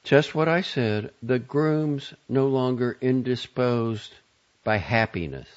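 A brash, bossy woman from an audiobook, acted out by a male narrator, irritable and sardonic. The lines swing widely in pitch with a gravelly edge, and the recording is a little dull. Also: huge pitch swings, a little slow, darkish tone, heavily acted. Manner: sardonic, clipped and emphatic. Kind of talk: audiobook character dialogue.

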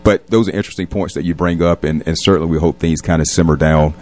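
A man speaking as a guest in a reflective radio interview, pausing often and choosing his words in a measured, hesitant way. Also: low-pitched, fairly even intonation, a little mellow. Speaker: police captain and community leader, interview guest.

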